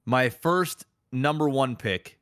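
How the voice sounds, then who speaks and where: Casting: man, podcast host, medium pitch, opinionated and emphatic, slangy, sweary, casual delivery. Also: takes it slowly, fairly bright tone, smooth, no room sound.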